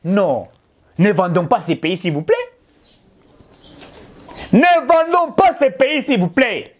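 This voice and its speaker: Impassioned Congolese man, high lively voice. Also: slow. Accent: Congolese French. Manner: emphatic and insistent, with repetition and appeals to the audience. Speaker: political commentator. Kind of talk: impassioned direct-to-camera address.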